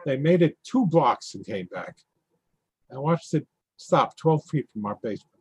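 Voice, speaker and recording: A man's voice: medium pitch, expressive, normal speed, a little mellow, breathy edge, dry recording, highs cut off. A man reading a monologue, giving a detailed street-by-street account of watching a flood. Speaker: reader performing a monologue. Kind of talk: readers theatre monologue.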